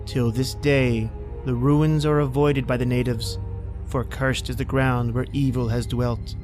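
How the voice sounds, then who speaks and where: A man's voice: mid-range voice, little pitch movement, a little slow, darkish tone. A man hosting and narrating an old-time radio horror podcast in a scripted storytelling style. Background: music.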